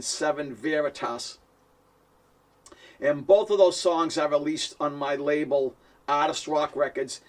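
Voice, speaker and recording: A man's voice: fairly high pitch, far from the mic. A man with a strong Boston accent, talking casually to camera as a musician and producer, chatty and self-deprecating with frequent chuckles. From Boston, USA. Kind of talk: casual direct-to-camera talk.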